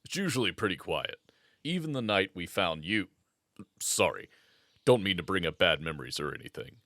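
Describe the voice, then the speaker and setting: A man's voice: sits low, very animated, normal speed, a bit bright, dry recording, exaggerated voice. An actor playing a friendly, joking male werewolf who guards his pack's home. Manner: laid-back, joking. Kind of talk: audio roleplay. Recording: dry close recording.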